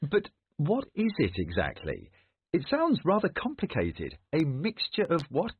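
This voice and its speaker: A man speaking in the measured, formal style of an academic lecture. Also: fairly high pitch, huge pitch swings, slightly rough, no echo. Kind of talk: academic lecture and question, clearly delivered. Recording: thin phone-like audio, dry.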